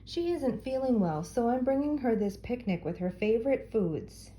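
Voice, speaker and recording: Storybook little girl, played by a woman: innocent, polite, trusting, lifted pitch, mellow tone. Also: lively intonation, moderate pace, close to an everyday voice, low-quality recording. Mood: cheerful, innocent. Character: sweet, trusting little girl in a fairy tale.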